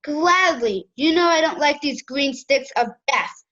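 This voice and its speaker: Cheeky, pedantic young girl acted by a woman, high and slow, audio drama, slightly dull recording. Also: animated, a bit bright. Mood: sarcastic, disgusted. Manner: deliberate, cheeky.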